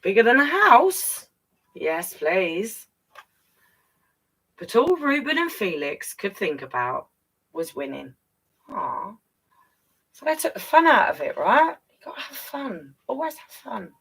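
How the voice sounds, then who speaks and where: A woman's voice: medium pitch, very animated, slow, gravelly, long pauses, a bit bright, husky, rough recording. A woman reading a children's picture book aloud expressively, with chatty asides straight to young listeners. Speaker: children's storyteller.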